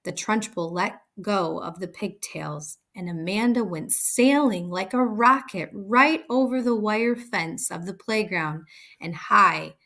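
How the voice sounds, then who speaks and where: A woman narrates a children's book aloud. Her pace is very slow and deliberate, her pitch is in the middle range, and her delivery is expressive. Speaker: storybook reader.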